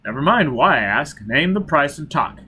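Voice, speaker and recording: A man's voice: a little high, normal speed, slightly rough, full character voice. Man playing a blunt, driven interrogator. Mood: demanding. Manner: blunt, forceful, impatient. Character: grim, driven man demanding answers. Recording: steady background noise.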